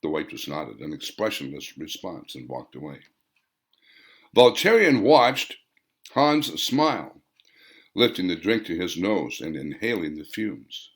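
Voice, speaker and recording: A man's voice: low-pitched, big pitch swings, measured pace. A man reading his own fiction as a dramatic audiobook narration, with emphatic, theatrical phrasing. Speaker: author reading own novel.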